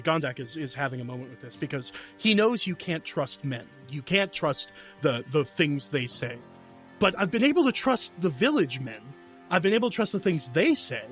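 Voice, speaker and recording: Casting: male tabletop gamer, slightly high voice, lively casual improvised narration over faint music. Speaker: tabletop role-playing player.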